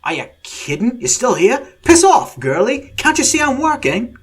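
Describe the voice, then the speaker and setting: A man voicing a rude, gruff male scientist living inside a computer, with a broad Australian accent and a brash manner. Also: a little high, very animated, moderate pace, full character voice. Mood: annoyed. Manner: brash, annoyed, shouting.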